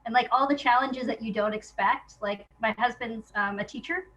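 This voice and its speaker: A woman's voice: fairly high pitch, normal speed, fairly bright tone, rough recording. A woman speaking as an interview guest in a casual, reflective way, candid and hesitant, often restarting her thoughts. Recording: noticeable background noise.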